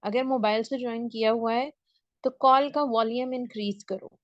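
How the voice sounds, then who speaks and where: A woman's voice: fairly high pitch, steady tone, normal speed, dry recording, muffled recording. Woman teaching an online English class. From Pakistan. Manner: explains step by step, checks in often. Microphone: video call.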